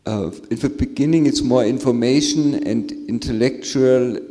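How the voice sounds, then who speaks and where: A man sharing a spiritual experience on a panel, speaking slowly and searching for words with soft laughs. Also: mid-range voice. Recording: reverberant hall.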